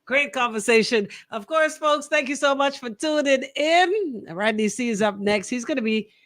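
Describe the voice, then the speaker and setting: A woman with a Bahamian accent hosting a casual radio talk show, animated, opinionated and quick to question. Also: high-pitched, no room sound, clean studio sound. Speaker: radio host.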